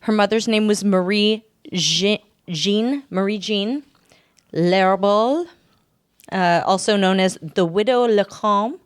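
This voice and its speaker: A woman podcast host with a smooth, clear voice in a close, dry recording. She chats casually and tells her story in an informal, joking manner. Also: mid-range voice, takes it slowly, no room sound. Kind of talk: casual chat with storytelling from notes.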